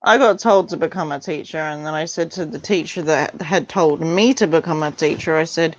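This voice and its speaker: A woman's voice: sits low, normal speed, no room sound. A woman chatting casually as a guest on a livestream, talking in a blunt, matter-of-fact way. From Portland, Oregon, United States. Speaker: interview guest. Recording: dull, lo-fi streamed audio.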